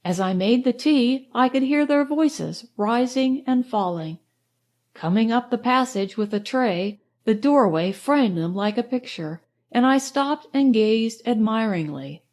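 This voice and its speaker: Higher-pitched woman reading a novel. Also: lively intonation, unhurried, darkish tone. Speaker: audiobook narrator. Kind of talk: scripted first-person literary narration.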